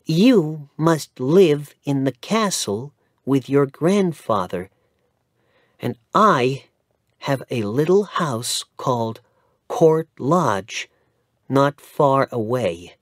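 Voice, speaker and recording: A man voicing a gentle, sad young mother in a storybook reading, speaking softly and tenderly. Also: medium pitch, expressive, drawn-out words, no room sound, studio quality, voice pushed up. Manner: soft and tender.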